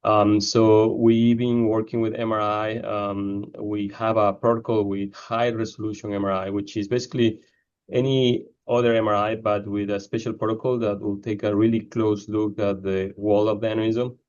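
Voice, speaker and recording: A man from Ecuador answering interview questions about his research, often pausing with fillers. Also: fairly low pitch, flat delivery, a little mellow, dull audio. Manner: hesitant, many fillers. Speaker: neurosurgeon researcher.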